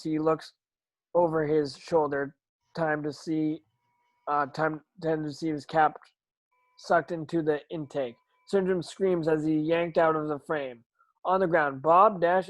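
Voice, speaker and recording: A man narrates a screenplay at an amateur table read. His voice is on the high side and recorded dry. He reads haltingly and often stumbles over words. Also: no room sound, poor audio. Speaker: stage directions reader.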